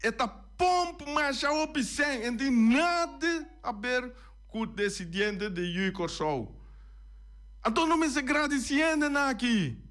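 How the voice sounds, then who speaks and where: A man with a high voice talks slowly and deliberately as a radio host. He drives his points home with repetition, running through lists item by item. The sound is a close studio microphone. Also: lively intonation, a bit bright. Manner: emphatic, repeats and lists points. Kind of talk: radio talk commentary.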